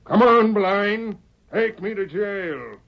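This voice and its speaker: Stubborn old West ex-sheriff, highish voice. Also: male voice, slow, gravelly, background noise, exaggerated voice. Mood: defiant. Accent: American. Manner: rustic, folksy speech. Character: stubborn, defiant former sheriff of a Western town, a father. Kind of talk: 1940s radio Western acting. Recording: dull old radio recording.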